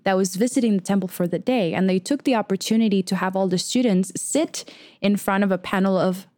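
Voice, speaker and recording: A young woman from Venezuela, a podcast co-host, telling a personal story in casual chat, expressive and quick to laugh. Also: mid-range voice, no room sound. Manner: chatty and expressive, laughs often, acts out quoted voices.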